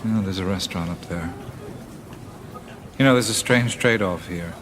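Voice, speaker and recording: An actor with an American accent playing an easygoing, wry traveler in casual, musing radio drama dialogue. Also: male voice, sits low, moderate pace, background noise, natural voice. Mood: amused, relaxed. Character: easygoing, wryly humorous American male traveler.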